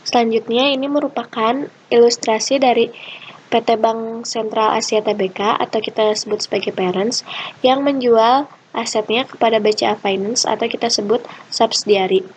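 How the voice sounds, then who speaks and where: A woman's voice: on the high side, steady tone, moderate pace, rough recording. A young woman giving an explanatory class presentation as a student. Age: young adult. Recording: clear background ambient noise.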